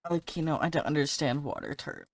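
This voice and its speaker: A woman's voice: sits low, a little fast, dry recording, studio quality, faint music behind. A woman streamer giving casual live reactions while playing a video game.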